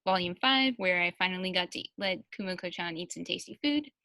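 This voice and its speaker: A woman's voice: lowish voice, fairly quick, sharp tone, dry recording. A woman in a casual panel interview, chatting and reading out answers as a translator.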